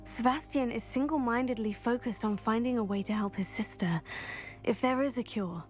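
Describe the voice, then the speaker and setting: A woman's voice: a little high, moderate pace, soft background music, lightly acted. An actress playing a polite, composed and earnest teenage girl student in a game cutscene. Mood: earnest, sympathetic.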